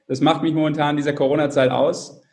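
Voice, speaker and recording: Bantering man with slightly high voice. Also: level tone, fast, darkish tone. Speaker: podcast co-host. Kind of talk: casual chat, bantering.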